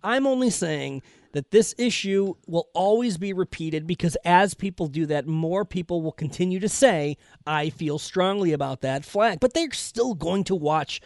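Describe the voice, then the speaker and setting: A man's voice: sits high, expressive, normal speed, few pauses, dry recording, studio quality. A man co-hosting a talk show, earnestly explaining his point of view at length. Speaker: co-host. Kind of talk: casual debate on a talk show.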